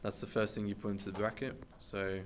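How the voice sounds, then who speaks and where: A young male student with a fairly low, flat voice walks his class through calculator steps. He sounds offhand and plain. Faint noise hums in the background. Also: a little fast. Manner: offhand, plain explaining with fillers. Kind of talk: classroom demonstration.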